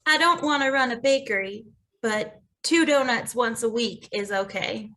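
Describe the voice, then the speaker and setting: A woman playing an earnest, anxious orphaned young woman in an animated comedy, with emotional delivery. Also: a little high, normal speed. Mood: accepting. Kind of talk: animated comedy table read.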